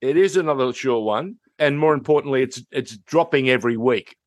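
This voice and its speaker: A mature man hosts a chatty TV review in a mid-pitched voice. He leans on phrases and repeats them for emphasis. The sound is dry, with very little room in it. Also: fairly quick, no echo. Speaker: host reviewing TV series. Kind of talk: conversational review chat.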